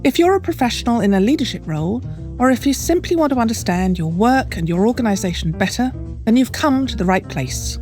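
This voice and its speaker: A woman hosting a podcast, reading a measured scripted introduction and then interviewing her guest. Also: a little high, expressive, a little mellow, soft background music.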